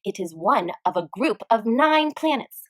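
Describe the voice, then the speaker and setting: A woman teacher reading a children's nonfiction book aloud in an expressive storytime manner. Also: on the high side, measured pace, no room sound, rough recording.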